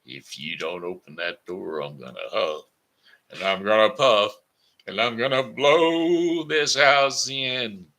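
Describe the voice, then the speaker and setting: A man's voice: fairly low pitch, slow pace, bright, forceful tone, pitched above the performer's own voice, full character voice. A man voicing a hungry, sly big bad wolf in a storybook tale with a drawling, coaxing delivery. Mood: threatening.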